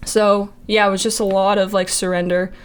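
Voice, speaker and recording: A woman's voice: mid-range voice, flat delivery, brisk, clear, resonant tone. A young woman in her early twenties from Montana, sharing a faith testimony in casual chat, hesitant with many fillers. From Montana, USA. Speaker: ministry intern.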